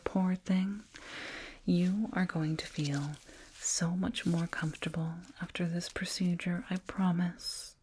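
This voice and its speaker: Casting: woman as alien dentist for ASMR roleplay, low hushed whisper, unhurried, gently patronizing toward a little human patient. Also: exaggerated voice. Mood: sympathetic, concerned. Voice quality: breathy whisper. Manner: slow, doting, clinical, gently patronizing. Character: condescending yet soothing alien dentist, fussing over a little human. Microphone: binaural mic, up close.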